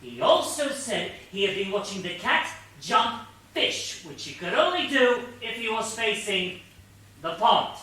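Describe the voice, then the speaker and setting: Far-off echoing theatre recording, man acting as pedantic detective, high-pitched, precise, earnest, patiently logical, stage comedy. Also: expressive, unhurried, bright, forceful tone, exaggerated voice. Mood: patient explaining. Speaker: stage actor. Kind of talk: comic stage play acting. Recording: distant, echoey theatre stage recording, faint hiss.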